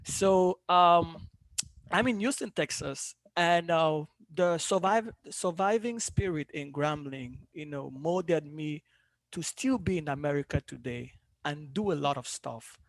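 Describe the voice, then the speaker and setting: A man from Cameroon introduces himself on an alumni panel, measured and pausing often between thoughts. His voice sits fairly high, and the sound is dry and close. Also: dry recording, rough recording. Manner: measured, pausing with many ums.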